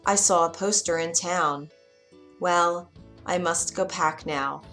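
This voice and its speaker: A woman voicing an old, sad, caring father in a storybook reading, speaking gently and sorrowfully. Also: on the low side, takes it slowly, faint music behind. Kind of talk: storybook character voice.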